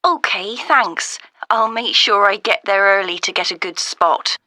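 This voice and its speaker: Bright, keen caller. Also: female voice, medium pitch, measured pace, no room sound. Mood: keen, friendly. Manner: polite, enthusiastic. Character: keen, friendly woman caller planning a holiday. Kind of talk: scripted listening-test phone dialogue.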